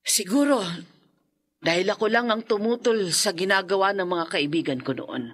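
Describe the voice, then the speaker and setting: A woman's voice: medium pitch, moderate pace, natural voice. An actress playing a grave older woman who survived a haunting, speaking in a solemn, warning way in a radio drama.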